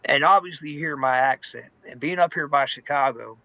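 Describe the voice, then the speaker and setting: A man's voice: a little high, brisk, bright, forceful tone, dry recording, dull audio. A man giving a personal testimony in an informal, candid, conversational storytelling way, saying "man", "dude" and "you know" often. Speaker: testimony guest in recovery.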